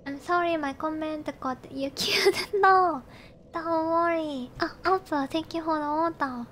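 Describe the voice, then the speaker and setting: Very high-pitched Japanese woman streamer. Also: a little slow, a bit bright, slight background noise. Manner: polite, thanks and greets viewers often. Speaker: game streamer. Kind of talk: casual livestream chat while gaming.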